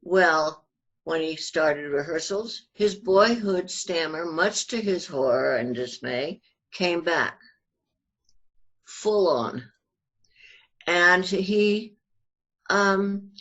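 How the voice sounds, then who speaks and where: Older female interview guest. Low voice, unhurried, halting but animated storyteller. Muffled recording. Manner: halting, animated retelling with acted-out quotes. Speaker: interview guest telling family anecdotes. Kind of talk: conversational storytelling.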